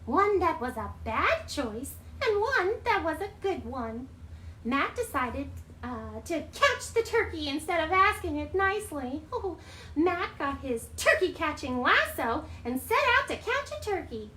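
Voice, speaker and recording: A woman voicing an excitable female puppet bird who reads storybooks aloud with theatrical exclamations and droll asides. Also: high voice, measured pace, heavily acted. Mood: bright storytelling. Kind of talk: casual read-aloud with playful asides on a livestream. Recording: roomy echo, faint background noise.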